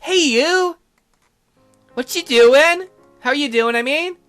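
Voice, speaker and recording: A man's voice: very high voice, takes it slowly, fairly bright tone, soft background music, pitched above the performer's own voice, exaggerated voice. A man voicing a perky, teasing, pushy young schoolgirl from a visual novel. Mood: bubbly, excited.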